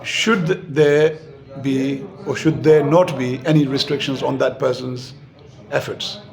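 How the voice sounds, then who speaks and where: A man's voice: medium pitch, steady tone, vocal fry. A man interviewing a guest, asking pointed follow-up questions. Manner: brisk, precise questioning.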